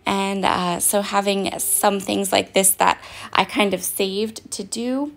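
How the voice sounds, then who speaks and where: A woman giving a casual, chatty voice-over of her craft project at home, her voice raspy and hoarse and she often pauses with "um". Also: medium pitch, fairly even intonation. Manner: chatty and apologetic, with frequent "um"s. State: hoarse, voice partly fading. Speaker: craft vlogger. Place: at home, in bed.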